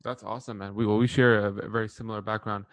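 Low-voiced casual podcast host, man. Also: flat delivery, racing, no room sound. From born in Lebanon, grew up partly in Saudi Arabia. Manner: informal, chummy phrasing. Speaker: podcast host interviewing a guest. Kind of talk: casual conversational interview.

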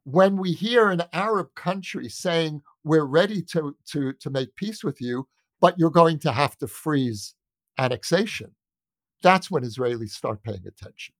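An American man in an earnest political discussion, speaking as a guest with a deliberate, emphatic manner that repeats phrases for weight. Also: fairly high pitch, lively intonation, a bit bright, dry recording. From United States. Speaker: journalist and author interviewed as guest.

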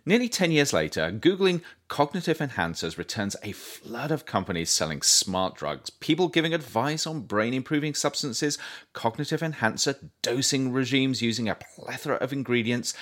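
A man reading his own nonfiction book aloud as a scripted audiobook narration, with expressive, deliberate, emphatic phrasing. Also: medium pitch.

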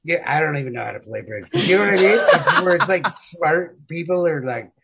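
A man's voice: a little high, animated, brisk, vocal fry, heavy background noise. A man chatting casually about books as a podcast host, slangy and emphatic, swearing freely. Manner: profane, slangy, emphatic, hedging with repeated "like". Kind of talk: casual chat about literature.

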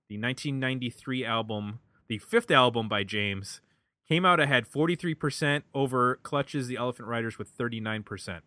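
An American man hosting a casual music podcast, talking in a halting, wordy way. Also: mid-range voice, bright, forceful tone, no echo. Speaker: podcast host.

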